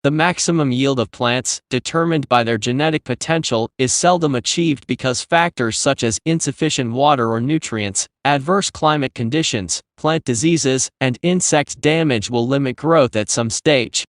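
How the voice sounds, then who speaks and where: A man reading an academic passage aloud in a measured, scripted style. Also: mid-range voice, dry recording, clean studio sound. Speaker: test-prep passage reader.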